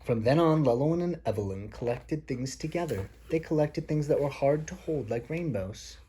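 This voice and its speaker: A man's voice: medium pitch, lively intonation, normal speed, mellow. A man reading a picture book aloud to a child as a father, explaining things simply along the way. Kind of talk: picture book read-aloud with casual asides. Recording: faint background noise.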